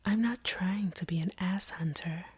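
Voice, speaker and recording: Casting: actress as a conflicted vampire woman for intimate audio roleplay; very breathy whisper, lowish pitch, earnest and deliberate. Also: darkish tone. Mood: pained, insistent. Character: conflicted female vampire confessing feelings to her hunter.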